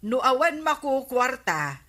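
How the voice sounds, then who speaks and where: A woman's voice: sits high, very animated, unhurried, bright, forceful tone, full character voice. A woman playing a village captain's gossipy, easily astonished wife in a radio comedy drama, exclamatory, with an Ilocano accent. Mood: gossipy, concerned.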